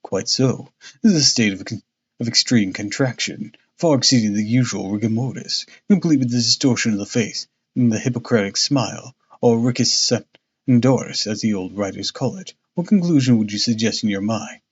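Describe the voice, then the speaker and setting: A man voicing a cool, analytical gentleman detective who speaks quietly and dryly, lecturing. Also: mid-range voice, moderate pace, husky, muffled recording, lightly acted.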